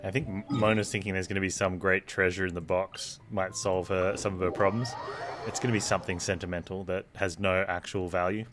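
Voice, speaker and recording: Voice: low-pitched, steady tone, brisk. A game streamer giving casual live commentary while playing. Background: game music.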